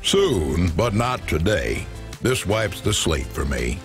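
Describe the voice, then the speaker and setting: A man playing a gruff old hovercar mechanic in a video game, laconic and plain-spoken. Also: low voice, sing-song, takes it slowly, over music, heavily acted. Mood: wry. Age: older. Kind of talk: video game dialogue acting.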